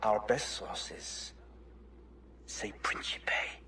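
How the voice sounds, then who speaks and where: A man's voice: drawn-out words, pushed and bright, whispered. A man playing a haughty, zealous aristocratic villain in a video game, speaking in a pompous, grandiloquent manner. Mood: grim, weakened. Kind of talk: dramatic video game acting.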